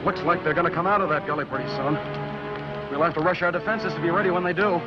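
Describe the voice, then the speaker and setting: An actor playing an urgent, determined soldier in an old science fiction film. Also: male voice, fairly high pitch, fast, natural voice. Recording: dull old film soundtrack. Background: loud music.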